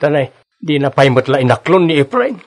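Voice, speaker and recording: A man playing a lively, teasing older village father in an Ilocano radio drama, chatty and expressive, with an Ilocano accent. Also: a little high, fairly quick. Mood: nosy, bantering.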